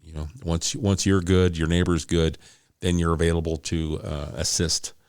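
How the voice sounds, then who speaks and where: A man with a low voice hosts a conversational interview in a dry, close acoustic. He affirms what is said and explains points in everyday language. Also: level tone, moderate pace, darkish tone, clear, resonant tone, no room sound. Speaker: interview host.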